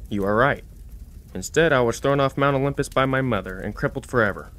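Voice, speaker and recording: Grumpy old blacksmith god, acted by a man, bitter and rambling, mid-pitched, audio drama. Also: fairly quick, a bit bright, natural voice. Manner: grumbling, rambling about old grievances.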